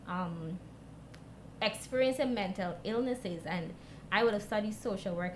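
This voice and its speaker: A woman from Guyana with a Guyanese accent, speaking as an interview guest and telling a personal story. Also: mid-range voice. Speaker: guest, youth mental health advocate. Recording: clearly noisy background.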